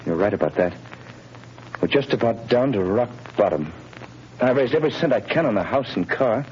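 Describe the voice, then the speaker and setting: A man's voice: medium pitch, expressive, normal speed, a little mellow, slight background noise, natural voice. A man playing a middle-aged, desperate jobless family man in a 1930s radio drama, earnest and pleading. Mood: weary. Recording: dull old radio recording.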